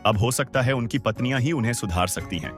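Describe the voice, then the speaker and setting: A man's voice: mid-range voice, quick pace, close to an everyday voice. A man playing a worried old farmer father in a cartoon moral story. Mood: agreeable. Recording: music behind the voice.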